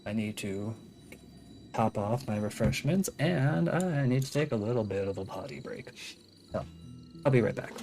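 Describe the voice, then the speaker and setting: A woman's voice: very deep voice, expressive, moderate pace, long pauses, warm tone. A woman live-streaming a video game, commenting in a casual, wry, offhand way. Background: game music.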